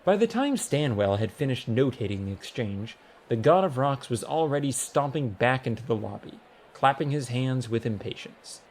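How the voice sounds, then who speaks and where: A man's voice: medium pitch, expressive, moderate pace, a little mellow, a little hiss or hum. A man narrating a comic fantasy audiobook in a wry, scripted storytelling style.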